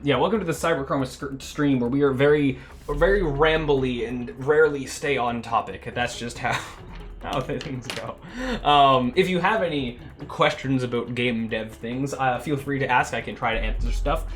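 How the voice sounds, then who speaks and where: Young canadian guy rambling on a game dev stream, mid pitch, faint music behind. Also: moderate pace, fairly bright tone. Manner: rambling, chatty, laughs often, trails off and restarts. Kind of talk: rambling casual livestream chat.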